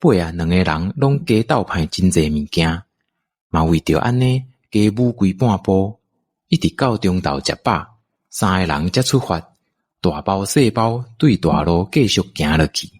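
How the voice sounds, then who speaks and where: Taiwanese guy narrating a radio story, low n kinda husky. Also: expressive, normal speed, a little mellow. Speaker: audio drama narrator.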